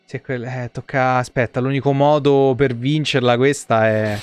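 A man's voice: medium pitch, steady tone, moderate pace, clean tone, dry recording. A young man giving casual live game commentary, muttering and swearing in exasperation to his viewers. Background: video game music.

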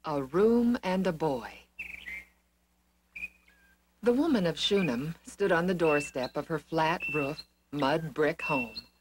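A woman storyteller narrating a scripted children's Bible story in a deliberate, expressive way. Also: sits low, background noise.

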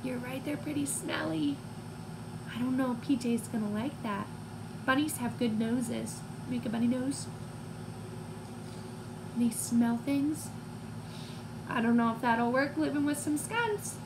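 A woman in her twenties reading a picture book to young children, expressive and teacherly, pausing to put questions to listeners. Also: sits high. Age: 20s. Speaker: read-aloud storyteller for young children. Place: small room at home, indoors. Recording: clear background noise, home recording.

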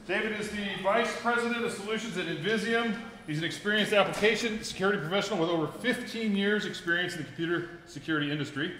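Quick-talking male host with a raised pitch, reading an intro in an echoey hall. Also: pushed and bright. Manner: brisk, reads off a bio. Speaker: conference host introducing speaker. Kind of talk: read-out speaker introduction.

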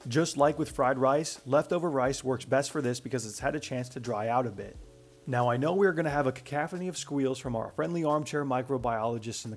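A man in his twenties narrating a cooking tutorial as a voice-over, brisk and matter-of-fact with dry humor. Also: medium pitch. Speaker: cooking channel host.

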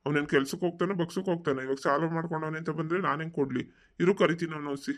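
Middle-aged village dad for cartoon drama, played by a man: slightly high voice, fast delivery, stern, reproachful questioner. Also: natural voice. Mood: stern, questioning. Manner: interrogating, reproachful. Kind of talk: family comedy drama for a cartoon story.

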